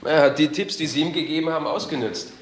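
Actor as a pointed detective in an echoing room. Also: male voice, on the high side, fast talker, lightly acted. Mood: accusing. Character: male detective, pointed, accusing questioner. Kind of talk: German crime radio play acting. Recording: roomy echo, faint noise.

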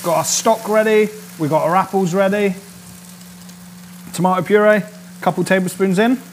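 A man's voice: high-pitched, steady tone, normal speed, slight background noise. A man in his thirties, a chef hosting a casual cooking demonstration in a studio kitchen, chatty and upbeat and often checking in with his viewers. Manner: chatty and upbeat, keeps checking in with "all right?". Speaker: chef and cooking show host. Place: indoor studio kitchen set. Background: sizzling and frying from the pan.

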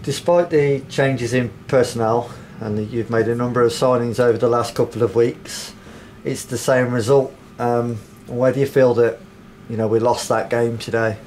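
A male club interviewer puts steady, slightly hesitant questions to the manager. A faint room hum sits under his voice. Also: mid-range voice. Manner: measured, hesitant questions with fillers. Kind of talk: post-match interview questions.

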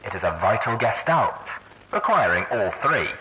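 A man acts as a young stallion orator in an audiobook. He argues with conviction in a low, forceful voice over a faintly noisy audio log. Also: very animated, moderate pace. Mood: earnest conviction. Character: young male pony leader, idealistic orator. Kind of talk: audiobook debate speech.

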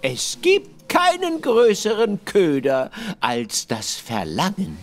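An actor playing a calm male sage who speaks in slow, measured aphorisms in a radio drama. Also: high-pitched, faint music behind, full character voice.

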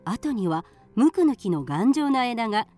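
A woman's voice: a little high, big pitch swings, a little slow, darkish tone, soft background music. A woman reading scripted storybook narration for a radio drama.

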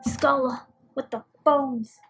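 A woman's voice: sits high, steady tone, drawn-out words, creaky, over music. A woman giving casual reaction commentary as she watches.

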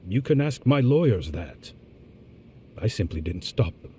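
An actor playing a smug, evasive middle-aged defendant in a courtroom drama game. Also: male voice, fairly low pitch, sing-song, a little slow, warm tone, slight background noise. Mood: sly, self-deprecating. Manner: sardonic, evasive.

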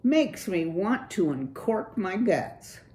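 A woman's voice: mid-range voice, big pitch swings, takes it slowly, a little mellow, pitched above the performer's own voice. A woman voicing a cool, slang-talking young male city mouse with a laid-back, breezy drawl. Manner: laid-back surfer slang, keeps saying "dude".

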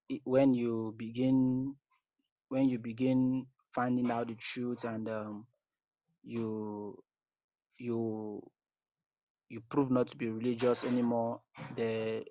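A man's voice: mid-range voice, darkish tone, no echo. A man with a Nigerian accent leaving an informal, hesitant spoken message, often repeating his phrases. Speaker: voicemail caller. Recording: dull lo-fi voice message.

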